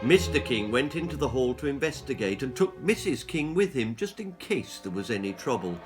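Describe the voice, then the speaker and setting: Man, lively storybook narrator, wide pitch swings, theatrical, suspenseful, music behind. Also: moderate pace. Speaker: author reading his own children's story.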